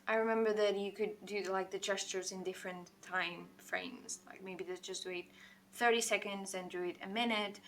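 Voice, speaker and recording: A Portuguese woman with her accent, chatting in English while she draws on a livestream. She talks fast and rambles, sprinkling in "like" and catching herself mid-sentence, at a middling pitch. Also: fairly bright tone, slight background noise. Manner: fast-talking, rambling, with frequent "like" and self-corrections. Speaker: artist streaming a drawing session. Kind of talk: casual livestream chat while drawing.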